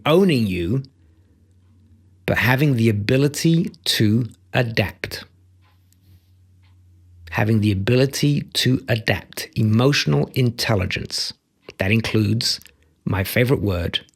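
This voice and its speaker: A man's voice: medium pitch, slightly rough. A middle-aged man coaching on self-acceptance, talking into a close studio mic in a home office, earnest and emphatic, repeating key phrases. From Democratic Republic of Congo. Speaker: empathy coach and author. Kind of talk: motivational talk to camera. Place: home office with bookshelves, indoors. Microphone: studio mic on boom arm, close.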